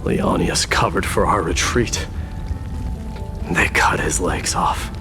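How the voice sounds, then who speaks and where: A man playing a solemn exiled warrior prince in a video game, telling of fallen comrades in a measured, grave way. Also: deep voice, gravelly, full character voice. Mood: somber, grieving. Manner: measured, grave, reflective storytelling. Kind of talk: dramatic video game dialogue. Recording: background music under the voice.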